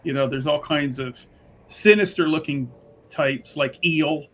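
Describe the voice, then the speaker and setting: Higher-pitched man chatting through a roleplaying game. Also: unhurried, a bit bright. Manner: chatty, agreeable, often echoing the other speaker. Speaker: tabletop roleplaying player. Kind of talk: casual chat, improvised storytelling.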